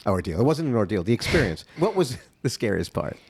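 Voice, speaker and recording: A man's voice: lowish voice, big pitch swings, fairly quick, darkish tone, slightly rough. A man in his thirties hosting a podcast interview, chatty and effusive, joking about himself. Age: 30s. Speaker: podcast host. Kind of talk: casual interview chat.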